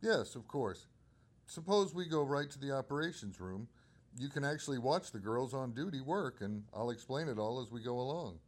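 A man's voice: medium pitch, animated, normal speed, close to an everyday voice. A man playing an obliging telephone company supervisor in a radio drama, explaining things courteously. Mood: affable, obliging. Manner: courteous, explanatory, crisp phrasing.

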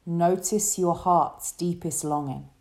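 Slow, mellow woman guiding relaxation. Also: on the low side. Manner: soothing, gentle, unhurried instruction. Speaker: yoga nidra teacher. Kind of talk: guided relaxation meditation.

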